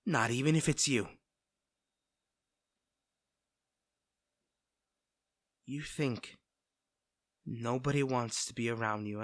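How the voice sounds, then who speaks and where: Male actor for devoted best friend in audio roleplay; caring, teasing, conversational, lively intonation, dry sound. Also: medium pitch, dry recording. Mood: tender, intent. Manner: fussing, tender, conversational. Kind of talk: soft-spoken scripted roleplay audio drama.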